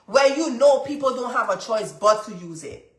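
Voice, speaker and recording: A woman's voice: a little high, lively intonation, moderate pace, fairly bright tone. A congested woman hosting a live session who gives motivational money advice. She speaks emphatically, repeats her key points and talks straight to her viewers. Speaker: livestream host. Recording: roomy, echoey room sound.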